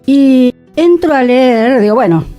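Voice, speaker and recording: A woman with a Rioplatense accent co-hosting a radio show, telling a story in an animated way. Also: sits high, a little slow, lo-fi sound, soft background music. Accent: Rioplatense Spanish. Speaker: radio co-host. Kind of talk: casual radio chat. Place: radio studio.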